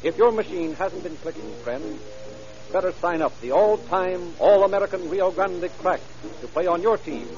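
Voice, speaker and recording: A man's voice: high-pitched, lively intonation, moderate pace, darkish tone. A man announcing a 1930s radio commercial in a polished, folksy sales manner. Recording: dull old radio recording, music behind.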